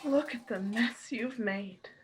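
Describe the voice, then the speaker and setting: A woman's voice: on the high side, takes it slowly. A woman playing an anxious, grief-stricken, bitter wife in a radio play. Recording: noisy live-streamed audio.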